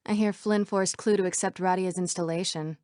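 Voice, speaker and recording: A young woman voicing an earnest female computer program citizen in a video game, with crisp, dramatic delivery. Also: fairly low pitch, steady tone, fairly quick, a little mellow, dry recording, studio quality, close to an everyday voice. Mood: measured.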